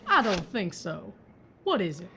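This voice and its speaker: A woman's voice: mid-range voice, big pitch swings, measured pace, lo-fi sound, noisy background. A woman playing a blunt sheriff. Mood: steely. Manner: terse, dry, gritty. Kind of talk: audio drama acting.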